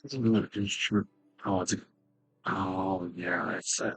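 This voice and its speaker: A man's voice: low voice, fairly even intonation, moderate pace, fairly bright tone, breathy edge, no room sound, soft background music. A man streaming a game, casually thinking aloud and muttering to himself as he plays. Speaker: gaming streamer. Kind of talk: casual play-along muttering.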